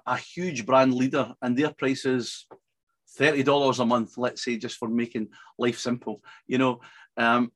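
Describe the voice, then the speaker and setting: Easygoing man co-hosting. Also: medium pitch. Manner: relaxed, agreeable, fond of sayings. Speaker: podcast co-host. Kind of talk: casual business chat.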